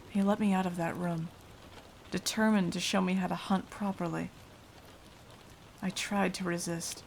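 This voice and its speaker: Woman as a haunted, slow-speaking vampire. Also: lowish voice, gravelly. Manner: hushed, halting, dramatic, often dropping to a whisper. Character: haunted, remorseful female vampire confiding her past. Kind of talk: ASMR roleplay monologue. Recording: light rain noise behind voice. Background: rain.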